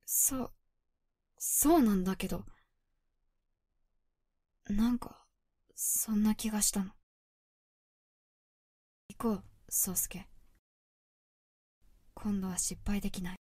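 A woman's voice: medium pitch, takes it slowly, pauses often, mellow, husky, no room sound, clean studio sound. A woman voicing an anguished young werewolf girl in a voice drama, resolute but emotional. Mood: brooding, hushed. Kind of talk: Japanese voice drama acting.

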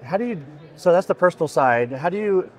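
A man's voice: high-pitched, lively intonation, brisk. A man in his forties conducting a casual interview, with informal, rambling phrasing. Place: busy indoor expo hall booth. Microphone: podcast microphone on stand.

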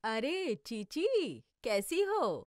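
A woman voicing a gentle, homesick village mother who explains things patiently. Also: a little high, very animated, very slow, no echo, clean studio sound, full character voice. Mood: wistful. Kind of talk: educational cartoon voice acting.

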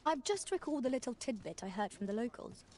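A woman's voice: fairly high pitch, sing-song, fast, darkish tone. A woman playing a loyal, earnest adventurer companion in a fantasy video game, speaking in formal, archaic phrases.

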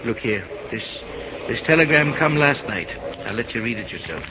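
An actor playing a kindly, rustic seaside friend in an old-time radio drama, speaking in a hesitant, folksy way. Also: male voice, mid-range voice, expressive, normal speed, close to an everyday voice. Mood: awkward, sorry. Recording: noisy vintage radio recording.

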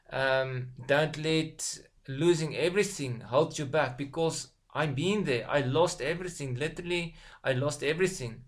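Halting Afrikaans-accented man on a webcam. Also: a little high, fairly bright tone. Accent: South African Afrikaans. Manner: halting, many ums and repeated words. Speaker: online business vlogger. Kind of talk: casual motivational talk to camera. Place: small room at home, indoors. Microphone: webcam at desk distance.

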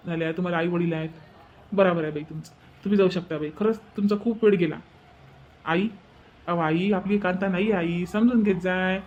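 A man's voice: high voice, a little mellow, close to an everyday voice. A man voicing a reasonable, mildly exasperated village son in a cartoon drama, placating and insistent, with a rural Vidarbha Marathi accent. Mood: apologetic. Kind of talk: cartoon family drama dialogue. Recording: dull lo-fi sound.

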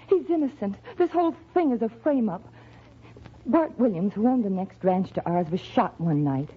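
An earnest, troubled American ranch girl in a radio Western. Her voice is husky and urgent. The recording is very thin and narrow, like a phone line. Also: mid-range voice, lively intonation, normal speed. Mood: distressed, pleading. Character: distressed, earnest rancher's daughter. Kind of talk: 1940s radio Western acting.

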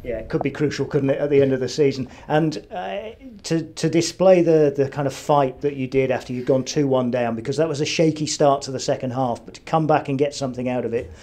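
A man's voice: medium pitch, a little fast, few pauses, mellow. A man interviewing a player after a match, asking conversational questions that end in tag questions. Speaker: club TV interviewer.

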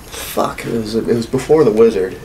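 Casual male podcast co-host, 30s, low voice, rambling and sweary, clear room noise behind. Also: lively intonation, moderate pace, mellow, low-quality recording. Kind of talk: casual opinionated chat about films and games. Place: small home studio room, indoors.

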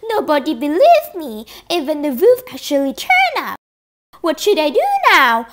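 A woman voicing a mischievous young shepherd boy in a puppet show, screaming and whining in a high childish voice. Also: wildly swooping pitch, moderate pace, background noise. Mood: regretful. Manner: shrill frantic screaming for help.

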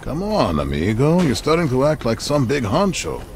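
An actor playing a gruff, teasing Western companion in a video game, with a gravelly voice. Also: male voice, medium pitch, huge pitch swings, moderate pace, a little hiss or hum.

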